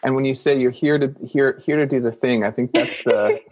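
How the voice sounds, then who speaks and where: Guy hosting a podcast, searching for words, mid-pitched, lots of "yeah". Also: steady tone, fairly quick, darkish tone. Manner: searching and thoughtful, circles around words, affirms with "yeah". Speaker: podcast host. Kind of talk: casual interview questions.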